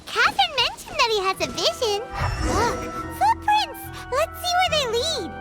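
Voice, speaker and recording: A woman voicing a small, excitable, childlike fairy companion in a video game, inquisitive and exclamatory. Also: very high voice, moderate pace, soft background music, full character voice. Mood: searching. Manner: exclamatory, inquisitive, refers to self in third person.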